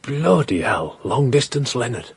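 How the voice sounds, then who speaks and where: An actor playing a sardonic, world-weary amateur writer with a dry, deadpan manner in a radio drama. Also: male voice, mid-range voice, measured pace, creaky, breathy edge, dry recording, full character voice. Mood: scornful.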